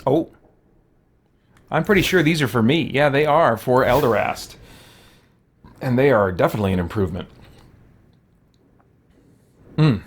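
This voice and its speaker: A man's voice: mid-range voice, lively intonation, measured pace, pauses often, slight background noise. A man doing casual live video game commentary, muttering to himself as he plays. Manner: muttering to himself while playing. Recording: loud game music behind.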